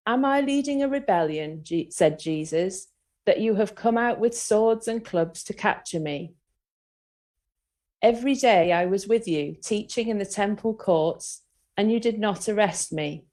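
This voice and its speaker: A woman's voice: mid-range voice, unhurried, warm tone. A woman giving a solemn reading of scripture as the reader at a worship service.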